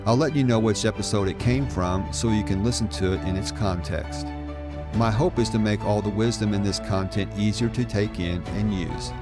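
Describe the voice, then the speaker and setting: A middle-aged man narrating a scripted podcast as host, in a measured, encouraging manner. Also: fairly low pitch, music in the background. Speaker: podcast host, adoption life coach.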